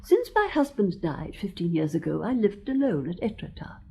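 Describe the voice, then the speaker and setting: A woman's voice: lowish voice, very animated, moderate pace, creaky, darkish tone, slight background noise. An actress playing a dainty, genteel elderly widow who tells her story in earnest, precise sentences in a radio drama. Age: older. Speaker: witness.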